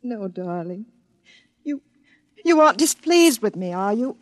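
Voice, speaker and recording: An actress playing a clinging, needy, devoted wife in an old-time radio drama. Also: female voice, mid-range voice, big pitch swings, unhurried. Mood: pleading, tearful.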